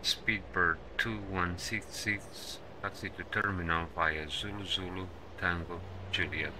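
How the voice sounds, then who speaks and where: A man's voice: low-pitched, fairly even intonation, unhurried. A man streaming an air traffic control game, switching between clipped radio-style commands and muttered asides. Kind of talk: gaming stream commentary with controller radio phraseology.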